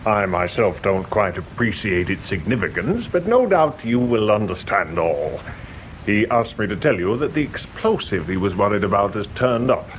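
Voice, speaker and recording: An actor playing a pompous, formal English official in a radio drama. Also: male voice, on the low side, animated, normal speed, dull audio, slight background noise, natural voice. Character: pompous, formal male official delivering messages stiffly.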